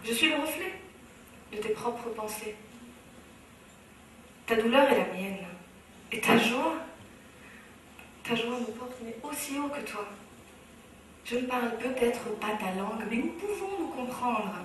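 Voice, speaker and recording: A woman's voice: fairly high pitch, expressive, unhurried, long pauses, a bit bright, slight background noise. Moroccan woman reciting slam in a hall. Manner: rhythmic, declamatory, impassioned. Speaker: speaker. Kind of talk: spoken-word slam recital. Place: large hall on stage. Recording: very echoey hall, from far off.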